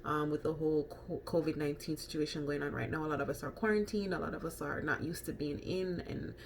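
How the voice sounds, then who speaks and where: Low-voiced Jamaican woman chatting casually. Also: steady tone, fast talker, vocal fry, slight background noise. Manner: chatty, rambling, frequent ums and restarts. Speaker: vegan food vlogger. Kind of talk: casual talk to camera.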